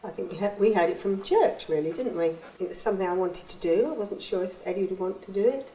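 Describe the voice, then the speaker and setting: A woman's voice: medium pitch, fast, mellow. A woman chatting about hosting refugees, often checking for agreement with tag questions. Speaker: refugee host. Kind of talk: casual conversation. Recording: roomy echo.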